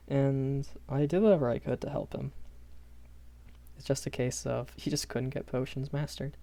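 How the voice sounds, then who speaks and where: A man's voice: medium pitch, steady tone, unhurried, creaky, pauses often, dark tone. A young man playing a shy, kindly magic academy professor in a rambling audio roleplay, stammering and hesitant. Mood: flustered, reassuring. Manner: hesitant, stammering, nervous chuckles, apologetic.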